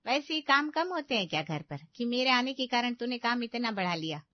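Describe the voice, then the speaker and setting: A woman's voice: on the high side, sing-song, brisk, dry recording, dull audio. A woman voicing a fussing, outspoken middle-aged aunt in a story, affectionate but indignant, with exclamations and motherly concern.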